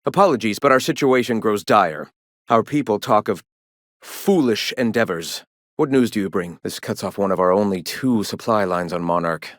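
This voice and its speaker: An actor playing a zealous, scholarly leader of a philosophical rebel movement in a video game, speaking in a lofty, formal, preachy way. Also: male voice, lowish voice, lively intonation, moderate pace, dry recording, studio quality, close to an everyday voice. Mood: grave, concerned. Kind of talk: video game character dialogue.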